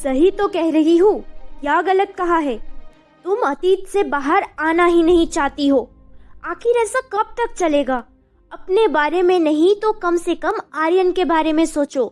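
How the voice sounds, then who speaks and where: A woman playing an insistent older mother in an animated story drama, with music behind the voice. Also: very high-pitched, a little slow. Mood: insistent, concerned. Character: insistent older mother urging her widowed daughter on. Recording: background music over the voice.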